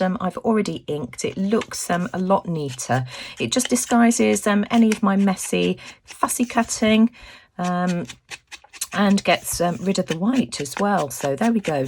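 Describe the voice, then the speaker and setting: Woman, slightly low voice, croaky, chatty craft host, thinking aloud, casual tutorial. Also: a little hiss or hum.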